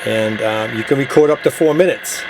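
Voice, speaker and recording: A man's voice: medium pitch, moderate pace, gravelly, noisy background. A man giving a casual, plain-spoken hands-on product demonstration, explaining it step by step. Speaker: product demonstrator, CB radio gadget seller.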